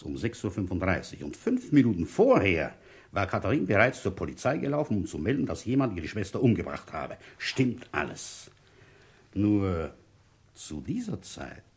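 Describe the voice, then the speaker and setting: Radio-play police inspector, shrewd and brooding, deep voice, widely varied intonation, wry. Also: male voice, sing-song, normal speed, breathy edge, heavily acted. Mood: coolly accusing. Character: shrewd, wry village police inspector telling his case. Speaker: narrator and lead actor. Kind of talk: radio play, first-person detective narration.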